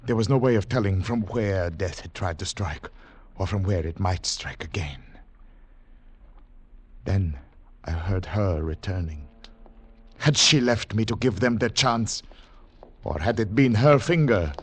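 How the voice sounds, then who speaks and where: An actor playing a grave, wary secret agent in a 1940s radio drama, with a measured, dramatic delivery. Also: male voice, low voice, lively intonation, slightly rough, heavily acted. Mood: grave, tense. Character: David, weary wary foreign secret agent narrating his mission. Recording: old radio recording with music.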